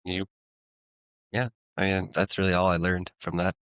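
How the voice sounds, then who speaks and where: Deadpan, very deep-voiced man. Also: moderate pace, no echo. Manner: terse, deadpan, short dry answers. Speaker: podcast co-host. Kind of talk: casual chat.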